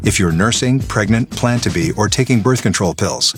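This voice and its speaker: A man reading a drug-ad safety disclaimer in a steady, measured voice. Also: on the low side. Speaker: ad announcer.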